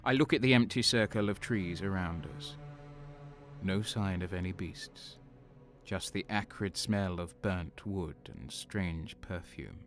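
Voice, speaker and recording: A brooding, cynical soldier played by a man tells of dark deeds in a low voice. His delivery is very slow, halting and sombre, an audio drama performance over loud music. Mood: tense, ominous. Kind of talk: dramatic first-person narration and audio drama acting.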